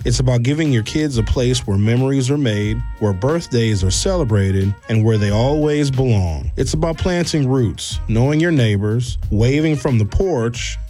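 A man's voice: mid-range voice, warm tone. An American man reading a scripted radio advertisement as the show host, earnest, on a close studio microphone.

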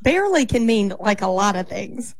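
A woman, co-hosting a morning radio show in a studio, quick and playful in her banter. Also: mid-range voice, very animated. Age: 40s. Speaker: radio co-host.

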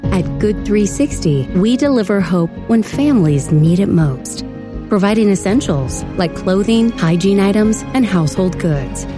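A woman's voice: medium pitch, animated, unhurried, music in the background. A woman reading a charity appeal ad. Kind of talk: charity ad read.

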